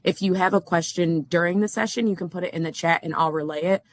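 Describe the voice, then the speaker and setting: A man's voice: high-pitched, fairly even intonation, quick pace. A man moderating an online session politely asks attendees to hold their questions until the end. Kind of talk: webinar housekeeping announcements.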